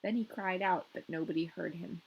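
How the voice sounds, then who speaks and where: A woman's voice: fairly low pitch, fairly even intonation, moderate pace, vocal fry, lo-fi sound, noisy background. A woman reading a fairy tale as a storyteller, informal and playful with her listener. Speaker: storyteller, podcast host. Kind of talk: scripted fairy tale narration with casual asides.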